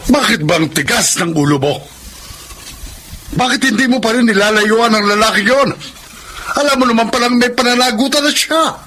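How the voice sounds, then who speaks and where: A man's voice: very high-pitched, moderate pace, pushed and bright, slight background noise. An actor playing a stern, protective, aging father who scolds reproachfully, with a Filipino accent, in a radio drama. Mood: pleading, stern.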